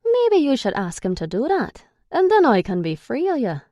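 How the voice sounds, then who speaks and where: A woman voicing an irritable, sarcastic young male captor who scolds loudly and with emphasis. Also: high-pitched, wildly swooping pitch, normal speed, no echo, dull audio, voice pushed up. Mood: blunt.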